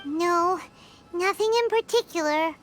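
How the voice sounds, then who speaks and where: A woman voicing a small, childlike, curious fairy companion in an anime video game, with a squeaky voice. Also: unhurried. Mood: deflated. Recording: game music behind the voice.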